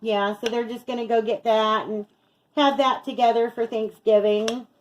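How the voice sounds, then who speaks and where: A woman's voice: fairly high pitch, fairly even intonation, normal speed, slight background noise, low-quality recording. A middle-aged woman chatting casually as she narrates each step of her home cooking as a vlog host. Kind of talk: casual chat while cooking. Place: home kitchen.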